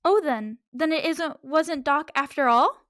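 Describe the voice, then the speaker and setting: Woman acting a high-voiced, theatrical polite lady. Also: measured pace, a bit bright. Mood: anxious, helpful. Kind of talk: amateur radio drama acting.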